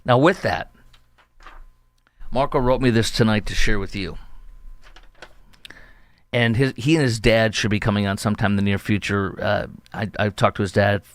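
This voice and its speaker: Indignant low-voiced male commentary host. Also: moderate pace, long pauses, no echo. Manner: emphatic, indignant, rambling. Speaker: talk show host. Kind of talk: opinionated political commentary.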